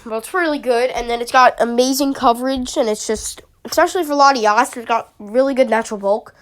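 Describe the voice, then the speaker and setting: Young woman with a stuffy cold, somewhat high pitch, loose chatty gamer commentary. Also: brisk. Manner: chatty, rambling, with many fillers. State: sniffly with a summer cold. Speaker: YouTuber analyzing a draft league team. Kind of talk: casual commentary.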